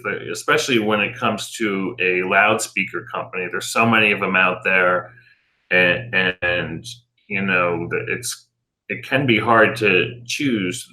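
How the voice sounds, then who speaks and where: A man's voice: on the low side, bright, forceful tone, low-quality recording. A middle-aged American man giving conversational answers as an interview guest and business owner.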